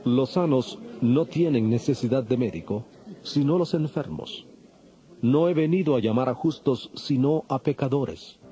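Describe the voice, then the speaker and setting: A man playing a calm, authoritative holy teacher, solemn and measured, in a dramatized audio Bible. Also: mid-range voice, close to an everyday voice. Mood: gentle, assured. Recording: dull lo-fi, faint music behind.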